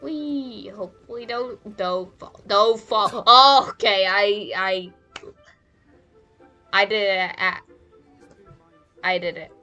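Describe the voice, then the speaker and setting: Bright-voiced woman streaming a game. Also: medium pitch, slow, long pauses, muffled recording, faint music behind. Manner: playful, rambling self-talk. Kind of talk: casual live gameplay commentary.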